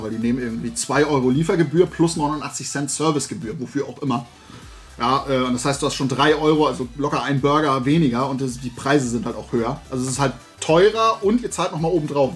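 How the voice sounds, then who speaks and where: A man's voice: mid-range voice, quick pace, faint music behind. A middle-aged man, chatting casually and enthusiastically to his viewers as a video host. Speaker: YouTube host showing new toys. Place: indoor room with shelves of collectibles.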